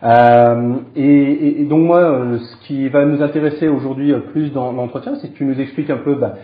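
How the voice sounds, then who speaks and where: A male podcast host interviewing a guest casually, halting often, with many fillers and repeated words. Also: mid-range voice, fairly even intonation, roomy sound, phone-quality sound.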